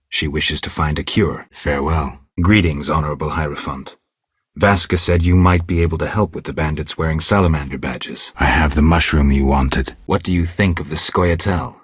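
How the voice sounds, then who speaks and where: Gruff stoic monster hunter for a fantasy game, super low raspy voice, deadpan and terse. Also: male voice, no echo, clean studio sound. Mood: businesslike, reserved. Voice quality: gravelly, husky low voice. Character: stoic gruff adult male monster hunter, wry and world-weary. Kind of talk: video game character dialogue.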